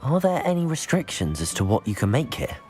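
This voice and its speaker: An actor playing a polite, curious, self-assured student in a video game, with measured phrasing. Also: medium pitch, very animated, a little mellow, faint music behind, close to an everyday voice. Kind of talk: video game character acting.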